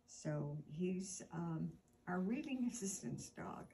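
Woman, 60s, children's storytime reader. Lively, swooping intonation, unhurried delivery, drawn-out key words. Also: lowish voice, creaky, a little hiss or hum. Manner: deliberate, expressive, with drawn-out words for emphasis. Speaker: storytime host reading a picture book aloud. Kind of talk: children's storytime read-aloud. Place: indoor room with armchair.

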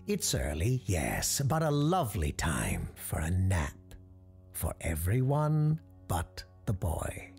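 Casting: stern old woman with magic , acted by a storyteller, commanding and curt, gravelly, with sweeping pitch. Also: huge pitch swings, takes it slowly, darkish tone, soft background music, exaggerated voice. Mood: calm, commanding. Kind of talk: audio storybook character.

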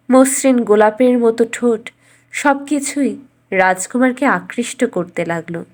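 A woman narrating a scripted bedtime fairy tale as a storyteller, in a measured, expressive way. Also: fairly high pitch.

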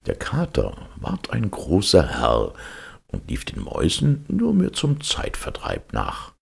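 A man reading a fairy tale aloud as an expressive scripted narrator. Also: sits low, slow pace, gravelly, slightly rough. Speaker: narrator, audiobook reader.

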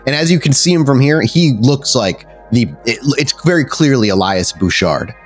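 A man's voice: mid-range voice, animated, moderate pace, soft background music. A man narrating a tabletop game as game master, descriptive and conversational, improvising as he goes.

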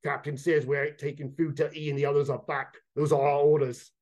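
A man voicing an aggressive, bossy male kobold guard who talks in a gruff, scolding way. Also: on the high side, little pitch movement, a little fast, darkish tone, dull audio, close to an everyday voice.

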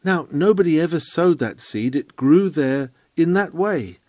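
A man reading an old sermon aloud in an emphatic, rhetorical manner with measured phrasing. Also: on the high side, expressive, a little mellow, no room sound. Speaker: reader of a historical sermon.

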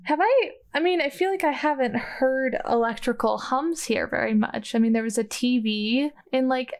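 Woman, fairly high voice, casual game player, improvising, hesitant, thinking aloud. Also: moderate pace. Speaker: player in a tabletop role-playing game. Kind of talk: casual improvised actual-play chat.

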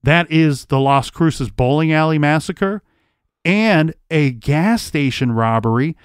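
A man's voice: fairly high pitch, slow, clear, resonant tone, no echo. Man reading and speculating in a dry room. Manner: reads case records aloud, then speculates. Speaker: podcast host. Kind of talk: casual true crime discussion.

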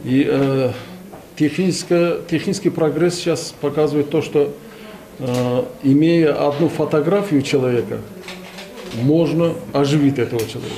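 A man in his sixties making formal press remarks in a measured way, with hesitant pauses. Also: fairly high pitch, dark tone. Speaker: official speaking to press. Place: bright indoor room, press gathering. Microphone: cluster of press microphones. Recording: busy room with people behind.

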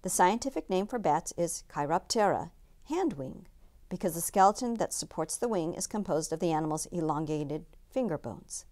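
A woman's voice: fairly low pitch, animated, normal speed, darkish tone. A woman reading a children's picture book aloud as a storyteller, with careful phrasing and dramatic emphasis.

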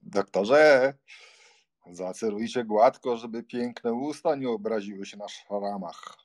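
A man's voice: fairly low pitch, slow pace, no room sound, studio quality. A man playing a nonchalant, teasing young baron, a jaunty friend, in an audiobook drama. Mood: mock innocent.

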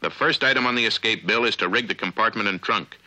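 American guy doing old 50s navy training film narration, low voice, really sharp and forceful, measured, vintage soundtrack. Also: low-quality recording. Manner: instructional, measured, authoritative. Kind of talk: 1950s military training film narration, scripted.

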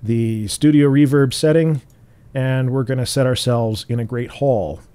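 Relaxed bassy man explaining software. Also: measured pace, clear, resonant tone. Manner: relaxed, explanatory, with fillers and restarts. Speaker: tutorial host. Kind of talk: screen-recorded software tutorial.

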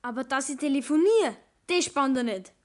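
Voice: sits high, expressive, normal speed. A young performer playing a cheeky, sullen teenage apprentice boy with a Bavarian accent in a 1960s radio play, defiant and grumbling. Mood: grumbling, boastful.

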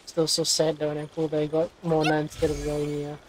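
A woman's voice: sits low, completely flat delivery, measured pace, a little mellow, slight background noise, poor audio. A woman giving casual commentary as she plays through a game story and reads some lines aloud. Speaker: game streamer reacting to a story quest.

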